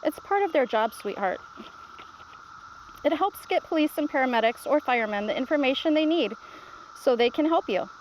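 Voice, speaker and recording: A woman's voice: high voice, lively intonation, moderate pace, pauses often, a little hiss or hum, poor audio. A woman voicing a patient, reassuring grandmother who explains things simply in a children's storybook read-aloud. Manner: reassuring, instructive.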